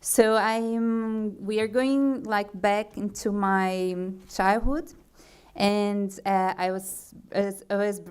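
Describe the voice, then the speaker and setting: A young woman from Brazil, speaking English with a Brazilian accent as a conference speaker. She talks slowly and hesitantly, pausing on fillers and restarting her sentences. Her voice is smooth and clear, at a middle pitch. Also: fairly even intonation, darkish tone. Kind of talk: forum talk.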